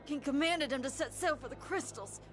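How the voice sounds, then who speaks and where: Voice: high voice, fairly quick, fairly bright tone, soft background music. A woman playing a wounded, accusing young village child in a video game cutscene, with noisy ambience behind. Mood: upset.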